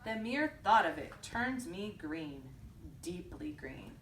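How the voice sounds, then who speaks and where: A woman's voice: on the low side, sing-song, slow pace. Woman reading aloud in an echoey room. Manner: prompting, repeats children's answers back. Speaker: teacher reading aloud to young children. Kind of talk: picture-book read-aloud with questions to children. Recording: roomy echo, distant mic, faint noise.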